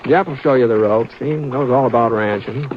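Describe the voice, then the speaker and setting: An actor playing a folksy, easygoing ranch hand who also narrates, in an old radio drama. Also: male voice, mid-range voice, moderate pace, slight background noise, lightly acted. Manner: folksy, drawling, gentle. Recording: muffled old radio recording with music.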